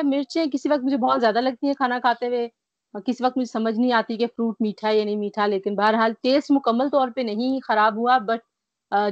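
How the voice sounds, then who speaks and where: A woman's voice: on the high side, no room sound. A woman, tired and a little short of breath with a mild cough, earnestly describing her illness as an interview guest over a video call. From Pakistan. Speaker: guest recovering from covid. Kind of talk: remote interview. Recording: dry, dull lo-fi call audio.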